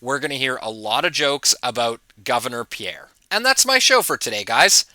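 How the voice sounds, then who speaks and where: A man's voice: medium pitch, big pitch swings, moderate pace, pushed and bright, no echo. A man hosting a political commentary show, talking to viewers in an informal, mocking way with chuckling asides. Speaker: host of political commentary channel. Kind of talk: casual opinion commentary to camera.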